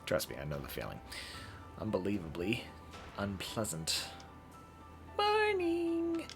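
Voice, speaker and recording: A man live-streaming a visual novel playthrough, reading aloud haltingly and correcting his own stumbles. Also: deep voice, very animated, creaky, soft background music.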